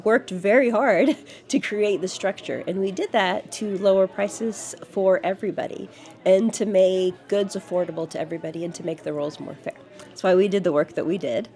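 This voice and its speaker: Earnest woman candidate, measured speech. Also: on the low side, a little mellow. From United States, grew up in Georgia. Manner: earnest, frequent fillers and restarts. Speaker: city council candidate. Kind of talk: interview answers.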